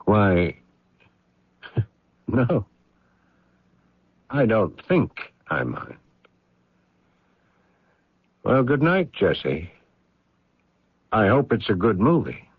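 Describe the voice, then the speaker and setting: Brooding, haunted gentleman, radio drama monologue; slow, widely swinging delivery, self-questioning and halting. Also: fairly low pitch, very animated, slightly rough, dry recording, full character voice. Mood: distracted, polite. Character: brooding, guilt-ridden gentleman of the house, haunted and lonely. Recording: muffled vintage radio drama recording, background music.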